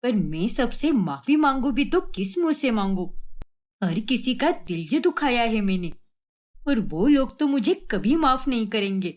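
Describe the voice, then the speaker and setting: A woman's voice: fairly high pitch, big pitch swings, a little slow. A woman voicing a resentful, self-pitying middle-aged mother in a cartoon story, with a dramatic, plaintive delivery. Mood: remorseful, sad.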